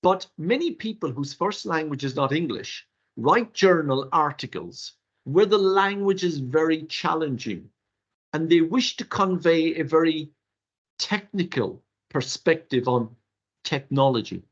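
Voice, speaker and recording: An older Irish man whose first language is Irish Gaelic gives a webinar workshop in an animated, rhetorical way, with theatrical asides, heard over a video call. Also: a little high, measured pace, slightly rough. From Ireland. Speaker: workshop presenter. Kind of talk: webinar lecture. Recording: dull lo-fi webinar audio.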